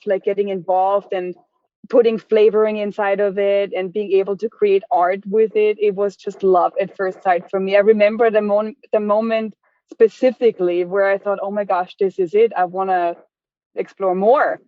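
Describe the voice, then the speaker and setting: A woman's voice: medium pitch, steady tone, moderate pace, no room sound. Lady chatting away in an interview, keeps restarting, sounds like a phone line. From Austria. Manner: chatty, many restarts and fillers. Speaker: guest, chocolate business owner. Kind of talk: casual interview storytelling. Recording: dry tinny phone-like line.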